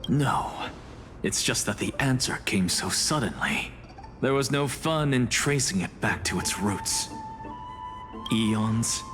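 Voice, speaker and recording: Harsh haughty teacher, anime game style, lively intonation, long reverberant hall, theatrical. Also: male voice, mid-range voice, slow. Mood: scornful. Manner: scornful, theatrical, imperious. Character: haughty, harsh male scholar and teacher, scornful of gods. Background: game music.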